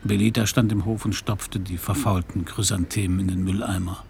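A man's voice: sits low, fairly even intonation, moderate pace, vocal fry, a little mellow, slight background noise. An actor playing a world-weary private detective in a German crime radio drama, dry and laconic as he talks and narrates. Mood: dry narration. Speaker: narrator and lead.

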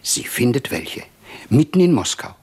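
A man's voice: mid-range voice, very animated, a little slow, creaky, darkish tone, husky, full character voice. A man playing a garrulous, wryly lamenting older Russian medical man in a 1950s radio play, with chatty, rambling storytelling. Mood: gossipy amusement.

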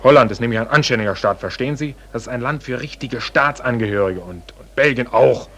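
An actor playing a gruff, bossy border policeman in a 1940s German radio play, curt, pedantic and blustering. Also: mid-range voice, a little fast, a bit bright. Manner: curt, blustering, stammers when challenged. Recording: old radio recording with background noise.

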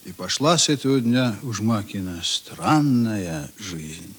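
An actor serving as the measured, probing narrator of a Russian literary radio drama. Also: male voice, lowish voice, lively intonation, husky, exaggerated voice.

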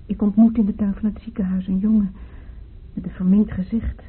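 An actress playing an anxious, fragile former patient in a radio play, plaintive and hesitant. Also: female voice, mid-range voice, level tone, a little fast, slightly rough. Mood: wistful, regretful. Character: anxious, fragile woman just out of hospital, pleading. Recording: narrow phone-like radio play, faint noise.

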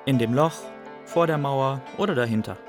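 A man's voice: medium pitch, normal speed, natural voice. Actor as melancholy young refugee man, music behind. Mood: bleak, reflective. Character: melancholy young Iraqi refugee recounting his life in Germany. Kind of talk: literary radio drama monologue.

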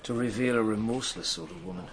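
An actor playing a shrewd middle-aged police inspector in a radio drama, with a dry, teasing, commanding way of talking. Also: male voice, mid-range voice, level tone, quick pace, noisy background, close to an everyday voice. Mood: wry. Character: shrewd, wry older male police inspector.

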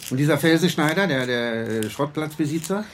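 Colloquial, prodding police inspector. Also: male voice, fairly high pitch, expressive, a little fast, fairly bright tone. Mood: bossy, urgent. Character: harried male police inspector, bossy yet concerned. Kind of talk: radio drama acting. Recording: faint background noise and ambience.

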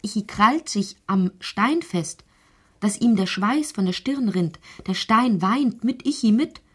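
A woman narrating a literary audio drama in a breathy voice that often drops toward a whisper, reciting deliberately and poetically. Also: mid-range voice, lively intonation, a bit bright, no echo, studio quality.

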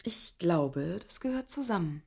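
Female illustrator, lowish voice, guarded but teasing, halting then pointed, radio drama. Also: lively intonation, creaky, dark tone, husky, heavily acted. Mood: reflective. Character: guarded, teasing woman illustrator, newcomer to the countryside. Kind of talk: German radio drama acting.

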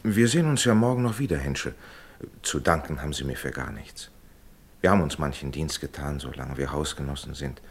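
An actor playing a conciliatory middle-class gentleman in a radio drama, raspy, speaking as a reassuring, educated old friend. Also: extremely low pitch, fairly quick, exaggerated voice. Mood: conciliatory, weary. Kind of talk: German radio drama acting.

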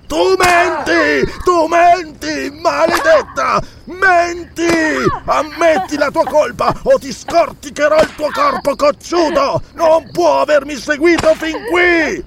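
A man's voice: very high voice, slow, vocal fry, few pauses, noisy background, voice pushed up, exaggerated voice. A man voicing a violent, half-mad tyrannical count with a menacing manner in a storybook reading. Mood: mad rage. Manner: raging, menacing, roaring.

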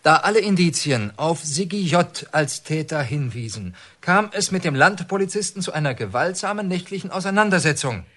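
An actor playing a pedantic, nervous prison psychologist in a radio drama, formal and insistent. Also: male voice, fairly high pitch, expressive, moderate pace, few pauses, a bit bright. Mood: pedantic, reciting. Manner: stilted, officious, agitated. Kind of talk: German radio drama acting.

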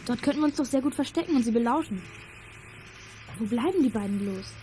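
Woman as young girl sleuth, high voice, rapid delivery, practical, radio drama, faint noise. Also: animated, dark tone, natural voice. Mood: practical, eager. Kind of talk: children's radio play acting.

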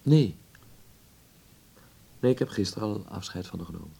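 A man's voice: on the low side, animated, normal speed, gravelly, mellow, husky, exaggerated voice. An actor playing a restless, ambitious young man of twenty-one in a naturalistic radio play, talking casually and reassuringly to his mother. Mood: casual, fond. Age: young adult.

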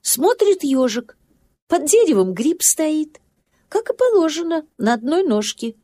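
A woman's voice: high voice, big pitch swings, measured pace, mellow. A woman narrating a children's storybook tale expressively.

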